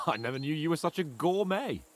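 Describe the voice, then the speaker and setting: A man's voice: a little high, expressive, moderate pace, slight background noise, close to an everyday voice. An actor playing a cocky, teasing young nobleman in a game cutscene, joking and boastful with his friend. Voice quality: playful, mocking.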